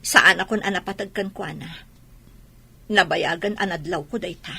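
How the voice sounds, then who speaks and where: A woman's voice: lowish voice, normal speed, vocal fry, bright, forceful tone, slightly rough, heavily acted. A woman with an Ilocano accent playing a wife and mother in a radio drama. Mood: sad. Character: hurt wife and mother on her birthday. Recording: light music underneath.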